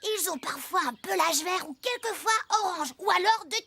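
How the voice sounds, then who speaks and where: A woman's voice: very high-pitched, expressive, moderate pace, vocal fry, bright, forceful tone, slight background noise, exaggerated voice. A woman voicing a playful, teasing young female prankster in a children's cartoon dub. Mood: confident. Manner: mocking, boisterous.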